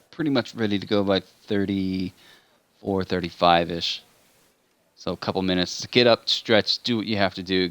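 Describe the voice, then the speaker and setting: A man with a very low voice calls a live sim racing event in a relaxed, chatty way. He laughs easily between lines. He talks like he is saving his voice. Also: no room sound. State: saving a tiring voice. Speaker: race commentator.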